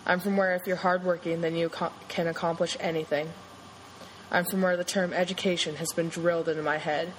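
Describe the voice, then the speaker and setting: Young adult woman, slightly low voice; steady, sincere poetry reading over faint noise. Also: a little fast, poor audio. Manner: steady, earnest recitation. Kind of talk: reading a personal poem aloud.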